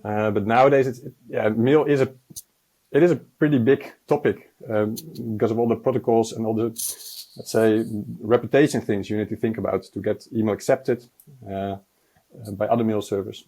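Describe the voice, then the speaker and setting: A man, answering questions as a guest developer over a video call, low-key and hesitant with many fillers. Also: fairly even intonation, normal speed, dark tone, poor audio. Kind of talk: casual interview answers. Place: home room, indoors. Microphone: earbud headset on video call.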